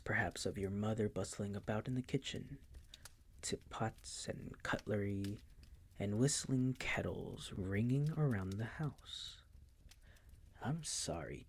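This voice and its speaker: A man playing a possessive, coolly menacing vampire master in an intimate audio roleplay, teasing and condescending in a breathy, gravelly whisper. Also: on the low side, heavily acted. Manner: slow, condescending, teasing, coaxing.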